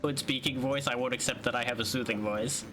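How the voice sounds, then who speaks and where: A man with a calm, soothing voice, chatting and teasing playfully while streaming a video game. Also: medium pitch, brisk, music in the background. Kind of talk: casual gaming chat.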